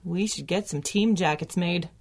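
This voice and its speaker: A woman's voice: on the low side, normal speed, a little mellow. A woman playing a sardonic, long-suffering secretary in a 1930s-style radio drama. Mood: wry. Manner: dry, sardonic.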